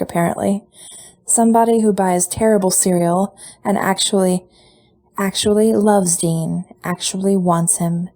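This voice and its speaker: A woman reading a fan fiction story aloud, with relaxed storytelling and lightly voiced dialogue. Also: mid-range voice. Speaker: podfic reader.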